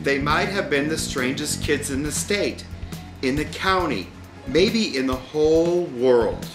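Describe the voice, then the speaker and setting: A man narrates a storybook in a wry, deliberate way. His voice sits a little high and moves slowly. The room sounds echoey, and music plays behind him. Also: expressive. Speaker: audiobook reader.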